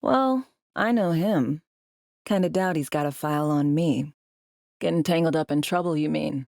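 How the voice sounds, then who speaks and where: A woman's voice: low-pitched, moderate pace, gravelly, a little mellow, no room sound. A woman acting a world-weary undercover agent in a video game, with a dry, sardonic, conversational delivery. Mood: wry. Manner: dry, sardonic, slangy and clipped. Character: world-weary, wry female undercover spy in a dystopian city.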